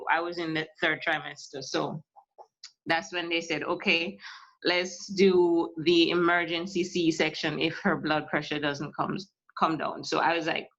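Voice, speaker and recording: A woman from Jamaica, a musician in her thirties, speaking softly and hesitantly with many fillers in a casual interview. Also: low-pitched. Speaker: musician, guest. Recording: lo-fi muffled audio.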